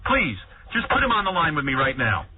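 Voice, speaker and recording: A man's voice: a little high, wildly swooping pitch, brisk. A man voicing a pushy, exasperated woman newspaper reporter demanding to be put through in a radio phone bit. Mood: urgent, pleading. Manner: insistent, demanding. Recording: lo-fi phone-band radio recording with noise.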